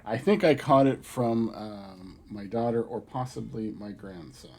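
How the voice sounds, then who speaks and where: A man's voice: fairly low pitch, unhurried. A middle-aged man with a cold, sounding stuffy, chatting casually to his livestream viewers while he builds a model. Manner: relaxed, chatty, thinking aloud while crafting. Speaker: hobby livestream host. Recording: faint background music.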